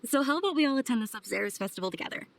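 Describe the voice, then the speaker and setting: Creaky, gentle young lady. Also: on the high side, lively intonation, very fast, a little hiss or hum. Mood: encouraging. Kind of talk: video game character voice acting.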